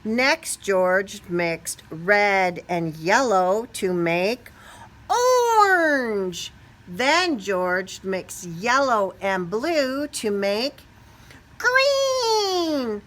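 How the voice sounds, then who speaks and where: A woman's voice: mid-range voice, very animated, extremely slow, a bit bright. A woman reading a children's picture book aloud expressively, stretching key words and putting questions to young listeners. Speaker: storybook reader.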